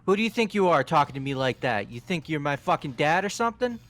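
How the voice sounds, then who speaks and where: A man's voice: fairly high pitch, big pitch swings, fast talker, a bit bright, faint music behind. A man voicing a hot-headed young delinquent who swears and picks fights. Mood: aggressive. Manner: aggressive, swearing.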